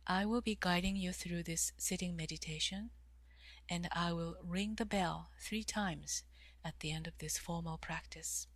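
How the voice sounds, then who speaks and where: A woman leading a guided meditation in a calm, measured way, with long pauses and soft, breathy phrase endings. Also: on the low side, dry recording. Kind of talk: guided meditation, slow instruction.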